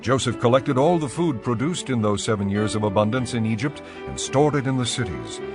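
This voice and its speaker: A man reads scripture as a narrator with a measured, formal delivery. His pitch is average, and faint music plays behind the voice. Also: expressive.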